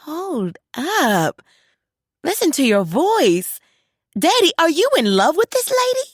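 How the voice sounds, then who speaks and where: A woman voicing an excitable grown daughter who gushes and squeals on the phone. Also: high-pitched, slow pace, dry recording, studio quality, voice pushed up, heavily acted. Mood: gushing. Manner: squealing, teasing, emotional.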